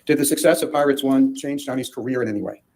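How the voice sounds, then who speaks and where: A man's voice: medium pitch, quick pace, mellow, a little hiss or hum. A man working as an attorney, questioning a witness in court in a formal, direct way.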